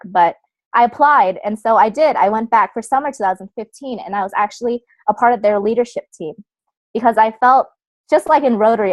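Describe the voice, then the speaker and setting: A woman's voice: mid-range voice, dry recording. A young woman speaking as a guest, telling about volunteer work in a casual, chatty way full of "like" and "so". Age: young adult. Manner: chatty, uses many fillers like "like" and "so". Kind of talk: casual personal talk.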